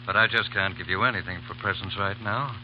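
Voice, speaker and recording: A man's voice: low-pitched, fast talker, slight background noise, natural voice. An actor playing a good-natured, teasing father in a 1930s radio skit. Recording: dull 1930s radio broadcast.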